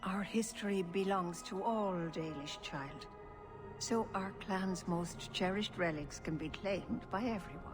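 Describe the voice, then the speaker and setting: An actress playing a calm, stern yet caring older elven clan leader in a fantasy game, speaking formally. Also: female voice, mid-range voice, over music, natural voice. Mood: solemn, disapproving. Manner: measured, formal. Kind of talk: fantasy video game voice acting.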